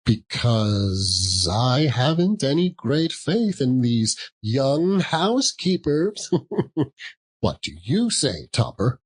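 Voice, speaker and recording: Male reader as jolly young gentleman, lively swooping pitch, unhurried, slightly husky, chuckling, storybook character. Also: full character voice. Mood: teasing. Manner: hearty, chuckling between phrases. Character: jolly young gentleman nephew, laughing heartily, good-humoured. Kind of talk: audiobook character voice.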